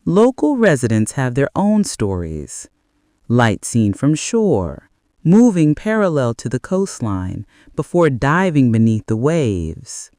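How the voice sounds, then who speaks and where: A man narrating a scripted bedtime mystery story in a measured, deliberate way with dramatic pauses. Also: mid-range voice, a little mellow, no room sound, studio quality.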